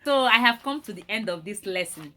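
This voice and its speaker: A woman with a Nigerian Igbo accent, a native Igbo speaker, teaching a language lesson deliberately and repeating terms for learners. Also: mid-range voice, expressive, sharp tone. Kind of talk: instructional lesson with repeated vocabulary.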